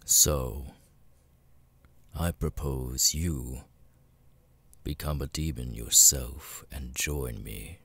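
A man voicing a cold, arrogant immortal demon lord in an ASMR roleplay, speaking with deliberate, condescending menace. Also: fairly bright tone, exaggerated voice. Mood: cold threatening. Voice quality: very deep, gravelly, husky. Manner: slow, deliberate, condescending, with long pauses.

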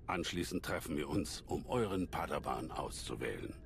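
An actor playing a wise, calm old Jedi master in a video game, speaking in a measured, solemn way. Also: male voice, rumbling low, creaky, a little hiss or hum, exaggerated voice. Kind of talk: dubbed video game cutscene acting.

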